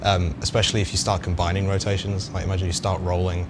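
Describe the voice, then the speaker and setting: A man's voice: low voice, level tone, fast. A man giving casual explanations as a developer, thinking aloud with some hesitation. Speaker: game developer guest answering questions. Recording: clear vehicle and ambient noise behind.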